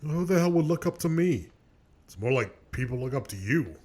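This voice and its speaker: Man playing an animated, aggrieved cartoon loudmouth. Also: mid-range voice, normal speed. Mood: doubtful, sulky. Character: aggrieved, defensive male loudmouth in a feud. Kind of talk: scripted comic argument in web animation.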